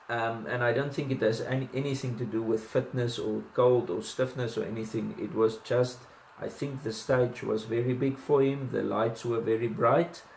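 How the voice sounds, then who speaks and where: A man's voice: medium pitch, roomy sound, a little hiss or hum, rough recording. A man with a South African accent giving casual boxing commentary, chatty, with many ums and restarts and self-deprecating asides.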